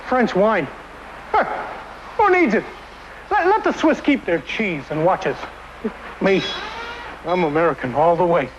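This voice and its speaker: Comic ad role: male actor as scornful all-American motorist, high exclamatory voice, muffled old TV tape. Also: expressive, unhurried, long pauses. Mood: scornful, proud. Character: blustering patriotic American car owner in a comic ad. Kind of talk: comic TV commercial acting. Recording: muffled, noisy 1970s TV tape.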